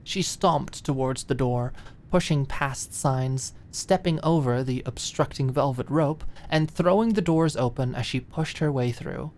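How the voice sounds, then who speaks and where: A woman's voice: very deep voice, a little slow, a little mellow. A woman reading scripted, dramatic story narration as an audiobook narrator.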